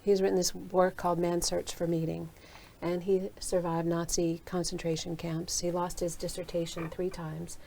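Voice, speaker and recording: A woman's voice: on the low side, flat delivery, normal speed, vocal fry, few pauses, darkish tone, slight background noise. A woman hosting a conversation in a university studio, asking reflective follow-up questions. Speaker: host. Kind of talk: conversational interview.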